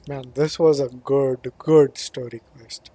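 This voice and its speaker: A man's voice: medium pitch, steady tone, takes it slowly, mellow. A man doing casual gameplay commentary as a streamer, offhand and thinking aloud. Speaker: gaming streamer.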